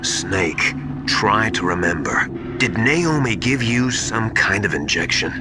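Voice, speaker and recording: An actor playing an earnest, anxious man confiding secrets in a video game, with a hesitant, hushed way of explaining. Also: on the low side, lively intonation, slow pace, noisy background. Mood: grave, confiding. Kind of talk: dramatic video game voice acting. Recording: music behind the voice.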